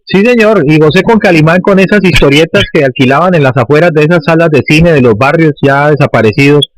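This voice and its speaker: A man's voice: a little high, fast, no room sound, rough recording. An older man with a Colombian accent reminiscing in a radio chat as a journalist on the show.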